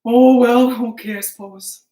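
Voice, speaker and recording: A woman voicing an excitable, simple-hearted woodcutter husband in a children's story, with blustering exclamations. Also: on the high side, a little slow, far from the mic, lightly acted. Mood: hungry, whining. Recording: echoey living room.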